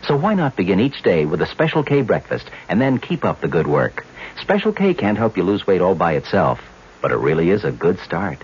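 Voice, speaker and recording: A slightly muffled old radio recording of a man with a very low, animated voice. He is a commercial spokesman reading scripted ad copy in a conversational manner. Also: a little fast. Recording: dull old radio broadcast.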